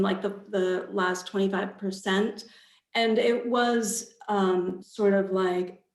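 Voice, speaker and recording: A woman speaks at a very slow pace in a clearly echoing space. She answers reflectively as a guest author, pausing thoughtfully in mid-phrase. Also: medium pitch, darkish tone. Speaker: author on a panel, with theater background. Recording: roomy, echoing sound.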